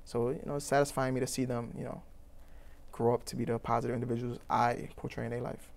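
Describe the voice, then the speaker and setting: A man's voice: mid-range voice, little pitch movement, fast, creaky. A young man from Trinidad with a Trinidadian accent, answering interview questions in an earnest, rambling way full of fillers and restarts. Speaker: youth program founder.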